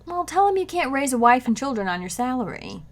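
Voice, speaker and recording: A woman's voice: sits high, big pitch swings, brisk. An actress playing a playful, scatterbrained young housewife who teases her husband, in an old-time radio comedy. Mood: teasing. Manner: playful teasing, coy innocent asides.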